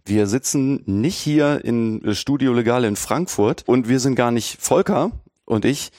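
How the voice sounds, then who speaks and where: A man hosting a podcast in a relaxed, chatty way, with fillers and easy asides. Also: medium pitch, a little slow, no room sound, studio quality. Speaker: podcast host. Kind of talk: casual podcast conversation.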